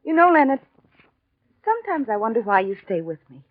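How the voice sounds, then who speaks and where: An actress playing a gentle, devoted invalid wife with an earnest, pleading manner in a 1940s radio drama. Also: female voice, a little high, big pitch swings, brisk, no echo. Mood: self-doubting, affectionate. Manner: soft-hearted, earnest, pleading. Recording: tinny, band-limited 1940s radio recording.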